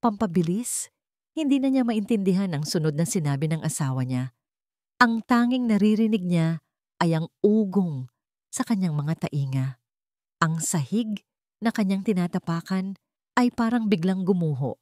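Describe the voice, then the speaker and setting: A woman's voice: fairly low pitch, expressive, normal speed, long pauses, a little mellow, dry recording, clean studio sound. A woman reading a scripted dramatic story as an audiobook narrator.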